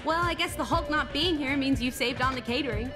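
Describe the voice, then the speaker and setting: An actress playing a brisk, teasing superhero in a video game. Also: female voice, sits high, over loud music, lightly acted. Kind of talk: video game cutscene acting.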